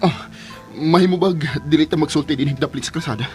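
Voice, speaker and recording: An actor playing an earnest, insistent male doctor in a radio drama, pleading in a measured, urgent way. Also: mid-range voice, darkish tone, faint music behind, natural voice.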